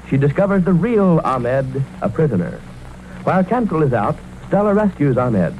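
Male announcer for old radio serial, raised register, scripted narration, muffled sound. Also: fairly high pitch. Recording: muffled, faintly noisy old radio recording.

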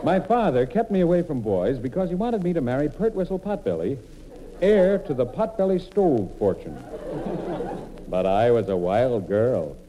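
A man's voice: medium pitch, sing-song, normal speed, warm tone, a faint crowd. A man performing scripted comedy narration on old-time radio, telling his story in a mock-earnest way. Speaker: comedian and monologist. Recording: noisy old radio broadcast recording.

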